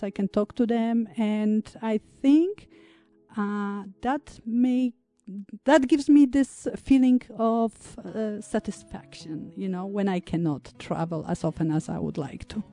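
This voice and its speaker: A woman in her thirties from Poland, an English speaker, talking reflectively as an interview guest, with many pauses and fillers. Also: mid-range voice, steady tone, dark tone, no room sound, faint music behind. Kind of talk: conversational interview.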